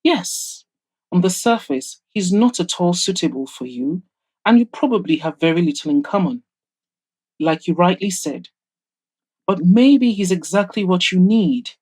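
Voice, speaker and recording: A woman voicing an excitable, frank young woman who teases her friend in an audio drama, animated and emphatic. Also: on the low side, a little slow, breathy edge, rough recording. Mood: earnest, persuasive. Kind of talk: audio drama character dialogue.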